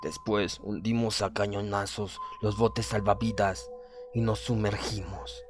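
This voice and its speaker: A proud German submarine commander, read by a man, recounts disaster in a formal, measured way. The voice is fairly low and coldly pompous, with music clearly behind it in audiobook style. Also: little pitch movement, natural voice. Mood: cold, matter-of-fact. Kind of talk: dramatic audiobook reading.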